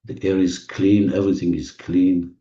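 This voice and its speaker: A man leading a guided visualization in non-native English phrasing, giving gentle, repetitive instructions with deliberate pauses, recorded muffled and distant in an echoey room. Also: deep voice, flat delivery. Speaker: guided meditation leader. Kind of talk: guided visualization, spoken slowly to a listener.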